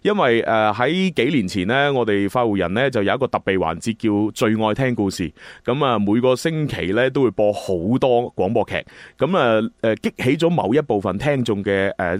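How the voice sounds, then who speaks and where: Lively man hosting radio, dry close sound. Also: mid-range voice, no room sound. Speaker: radio host and drama producer. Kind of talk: casual chatty live radio presenting.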